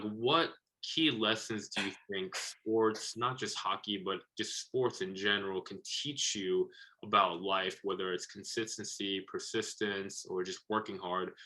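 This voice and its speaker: Podcast host guy, bit low voice but bright and forceful, long rambly questions. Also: poor audio. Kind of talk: casual interview talk.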